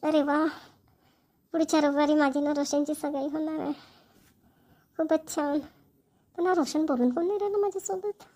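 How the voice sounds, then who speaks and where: A woman's voice: high-pitched, steady tone, normal speed, pauses often, warm tone, lightly acted. A woman voicing an anxious, lovestruck young bride-to-be in a cartoon comedy, wistful and musing. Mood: hopeful, puzzled. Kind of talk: amateur cartoon family comedy dialogue.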